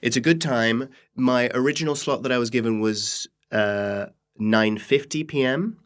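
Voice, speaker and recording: A man's voice: mid-range voice, normal speed, smooth. A man chatting casually as a comedian guest in an interview, with playful, dry jokes and mock-earnest asides. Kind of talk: casual conversational interview chat.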